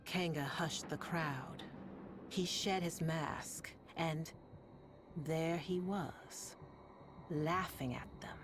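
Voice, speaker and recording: A woman playing a sardonic, world-weary radio host in a sci-fi game, telling stories in a deliberate, ominous, wry way with long pauses over music. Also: deep voice, fairly even intonation. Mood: dark, suspenseful. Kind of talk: slow dramatic monologue to listeners, storytelling.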